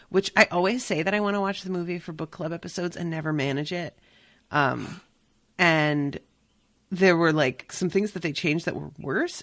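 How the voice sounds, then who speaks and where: A woman hosting a podcast, chatting casually about a book with quick, irreverent asides and the odd swear word. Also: fairly low pitch, a bit bright, no echo. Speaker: podcast host. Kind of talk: casual chat.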